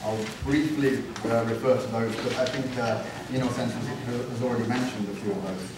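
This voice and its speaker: A man giving a conference panel talk, relaxed and self-deprecating with light humor. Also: medium pitch, brisk, noisy background. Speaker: university panelist. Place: large conference room. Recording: echoey hall, distant mic.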